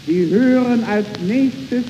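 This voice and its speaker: Very slow 1920s radio announcer, male. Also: extremely high pitch. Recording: muffled crackly 1920s radio recording, reverberant.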